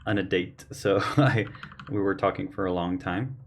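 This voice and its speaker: A man with a fairly low voice chats casually while painting on a livestream. His voice is a little hoarse because he is losing it. He sounds earnest and opinionated and thinks aloud, with faint keyboard clicks in the background. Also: normal speed, slight background noise. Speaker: artist hosting a painting livestream. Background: faint keyboard and mouse clicks.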